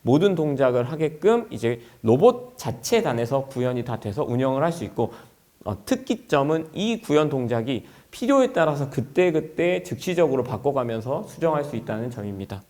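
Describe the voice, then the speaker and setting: A man's voice: mid-range voice, sing-song, normal speed, darkish tone. A man presenting a product at an industry conference in an explanatory way, as a company executive would.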